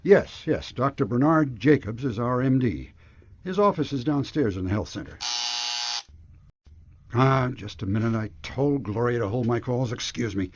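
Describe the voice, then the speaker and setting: An actor playing an anxious, earnest and formal retirement home director in a radio drama. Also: male voice, medium pitch, animated, moderate pace, a little hiss or hum, natural voice. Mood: anxious, businesslike. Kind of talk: radio detective drama acting. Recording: dull lo-fi radio broadcast.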